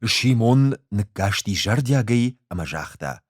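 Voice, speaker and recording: A man with an Ossetian accent reads a story as an audiobook, measured and expressive in his delivery. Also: on the low side, no room sound, studio quality. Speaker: actor reading a short story. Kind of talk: audiobook narration with acted dialogue.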